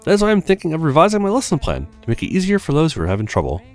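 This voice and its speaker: A man's voice: fairly high pitch, huge pitch swings, rapid-fire, a little mellow, faint music behind, voice pushed up. A man voicing an eager, apologetic novice teacher from a visual novel, read aloud. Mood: earnest.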